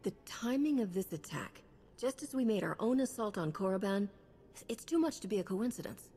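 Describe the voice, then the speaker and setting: Lively, direct heroine. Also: female voice, medium pitch, brisk, slightly rough. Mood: serious. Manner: direct, questioning. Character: self-possessed, inquisitive female sci-fi hero, direct. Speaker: player hero character. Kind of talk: video game cutscene acting. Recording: long hall reverb with music behind.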